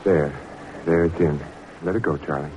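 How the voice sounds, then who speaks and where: Casting: actor as determined young male doctor, modest and sincere, rather low voice, vintage radio hiss. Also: normal speed, mellow, lo-fi sound, natural voice. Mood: hopeful, tense. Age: young adult. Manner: sincere, plain-spoken, modest. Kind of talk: old-time radio drama acting.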